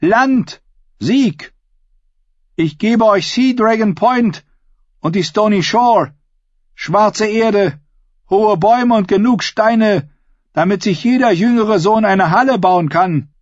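A man's voice: sits high, slow, no echo, highs cut off, pitched above the performer's own voice. A man voicing a bold, defiant young noblewoman in a dramatic audiobook, with a declamatory, challenging delivery. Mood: rallying, proud.

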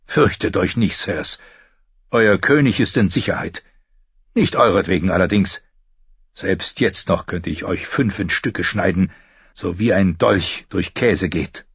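A man's voice: sits low, unhurried, breathy edge, no room sound, highs cut off, exaggerated voice. A man voicing a proud, scornful old knight in an audiobook. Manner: contemptuous, stern.

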